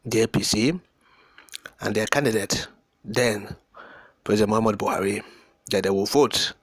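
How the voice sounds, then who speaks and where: A man's voice: lowish voice, dry recording. A man with a Nigerian accent giving impassioned, unscripted political commentary in an emphatic, oratorical way, repeating and piling up words for emphasis.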